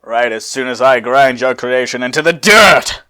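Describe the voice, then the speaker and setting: A man's voice: mid-range voice, normal speed, bright, forceful tone, lightly acted. A man voicing an arrogant, scornful android villain from anime fanfiction, with pompous, taunting delivery.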